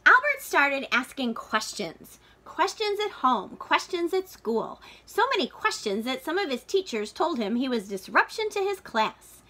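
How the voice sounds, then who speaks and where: Woman, highish voice, lively swooping intonation, expressive storybook read-aloud, deliberate phrasing. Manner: deliberate, dramatic phrasing for young listeners. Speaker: teacher reading a picture book aloud.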